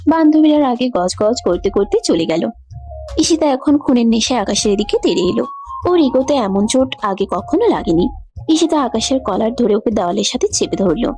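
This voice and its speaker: A woman's voice: fairly high pitch, sing-song, moderate pace, warm tone. A woman reading scripted narration for a romantic audio story. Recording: background music under the voice.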